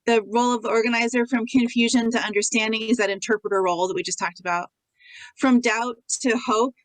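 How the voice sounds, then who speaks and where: A woman's voice: on the high side, dry recording, rough recording. A woman facilitating an organizing workshop on a video call, explaining ideas with frequent "you know" and "like".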